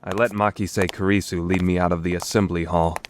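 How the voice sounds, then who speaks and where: A man's voice: sits low, flat delivery, brisk, background noise, lightly acted. Man playing a pompous, paranoid young mad scientist. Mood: tense narration. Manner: pompous, dramatic. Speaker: amateur voice actor. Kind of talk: dramatic fandub performance with first-person narration.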